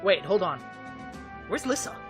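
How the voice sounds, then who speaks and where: A man acting a wry, quick-witted young tactician in an audio drama, with dry, teasing delivery. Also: high-pitched, big pitch swings. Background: music.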